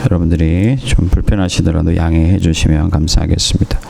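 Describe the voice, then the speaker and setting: Man, pastor, hoarse from a cold, sermon, measured pauses, dark tone. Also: deep voice, little pitch movement. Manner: measured preaching with many pauses and fillers. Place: church in Moscow.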